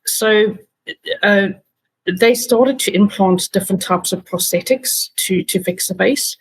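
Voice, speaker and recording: A woman with a South African accent, a patient advocate telling her story in a relaxed interview with many fillers and restarts. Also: medium pitch, measured pace, slightly rough, poor audio. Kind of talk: casual interview talk.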